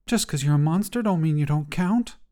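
A small, earnest girl pleads in a high, hushed voice, as a man narrating a story puts her on. She sounds anxious and urgent, leaning in to be heard. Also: lively intonation, fairly quick, natural voice.

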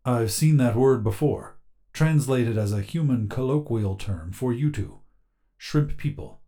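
A man voicing an earnest male shrimp-like alien who speaks through a translator, formal, halting and inquisitive, in an audio story. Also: medium pitch, animated, mellow, close to an everyday voice. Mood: puzzled.

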